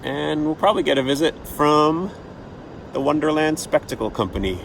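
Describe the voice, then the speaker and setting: A man's voice: a little high, expressive, moderate pace, creaky, slight background noise, low-quality recording. A man hosting a children's storytime show, telling a playful adventure story in a theatrical manner. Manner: theatrical, acting out his own adventure.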